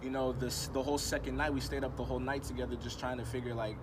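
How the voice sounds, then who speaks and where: A man's voice: mid-range voice, flat delivery, quick pace. Laid-back young man, traffic behind. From United States. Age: 20s. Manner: laid-back, reflective, many fillers like um and you know. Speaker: rapper being interviewed. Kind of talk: casual conversation. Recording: outdoor traffic noise.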